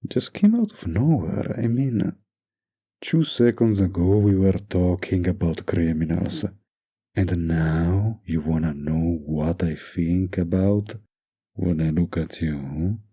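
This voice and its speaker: A man acts out a dominant mafia heir doting on his lover in an intimate audio roleplay. The voice is dark and mellow, full of gravelly fry and husky rasp. He murmurs in a teasing, possessive way. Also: low-pitched, slow, full character voice. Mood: amused, teasing.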